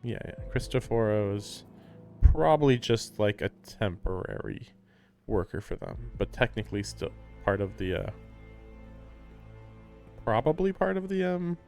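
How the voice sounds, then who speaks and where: A man's voice: lowish voice, big pitch swings, unhurried, creaky, pauses often, darkish tone, soft background music. A man doing casual livestream commentary on a game, with skeptical, offhand asides, close on the microphone.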